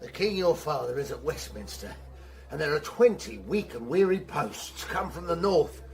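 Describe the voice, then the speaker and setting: Urgent messenger, high-pitched male voice, serious reporting, Shakespearean radio acting. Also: big pitch swings, normal speed, creaky. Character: urgent messenger bringing grave news.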